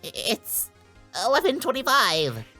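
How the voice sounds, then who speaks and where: A man voicing a flustered, haughty older male prosecutor in a video game, halting and formal. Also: extremely high pitch, wildly swooping pitch, bright, forceful tone, faint music behind, voice pushed up, exaggerated voice. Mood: nervous. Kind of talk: video game character dub.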